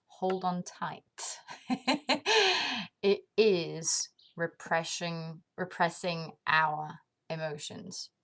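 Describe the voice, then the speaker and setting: Woman from denmark, unhurried and reflective, lowish voice, casual vlog talk. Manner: thoughtful, pauses often with ums, laughs at herself. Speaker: vlogger. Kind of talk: casual reflective monologue to camera.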